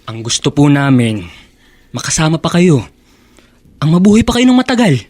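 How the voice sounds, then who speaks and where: A man's voice: a little high, big pitch swings, normal speed, a little mellow, dry recording. A man playing an earnest, affectionate young Filipino grandson in a radio drama. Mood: earnest, worried.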